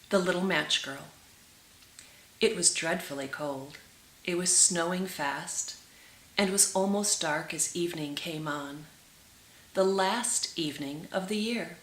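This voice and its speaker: A woman reading a storybook aloud for children as a storyteller, with dramatic, drawn-out emphasis. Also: on the low side, a bit bright. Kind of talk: expressive storybook read-aloud for children, with brief asides. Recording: roomy echo with clear hiss.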